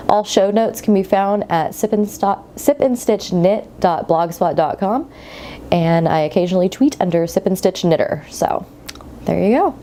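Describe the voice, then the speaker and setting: A woman's voice: lowish voice, warm tone, slight background noise. A woman hosting a knitting podcast in casual, rambling chat, congested and sniffly from a cold. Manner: chatty, rambling, friendly asides. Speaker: podcast host.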